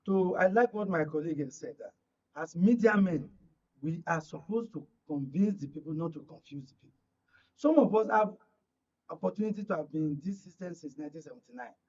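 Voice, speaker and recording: An older Nigerian-accented man with a high voice argues as a guest analyst. He is emphatic and leans on anecdotes and rhetorical questions. The broadcast sound is slightly muffled and lo-fi. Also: brisk, darkish tone. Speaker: political analyst and panel guest. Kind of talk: panel discussion on current affairs. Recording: dull, lo-fi broadcast audio.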